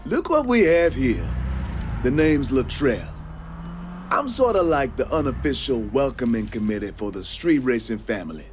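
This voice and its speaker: An actor playing a cool, cocky street racing mentor in a video game cutscene, slangy and laid-back. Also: male voice, medium pitch, very animated, moderate pace, faint music behind, close to an everyday voice. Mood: cocky, challenging.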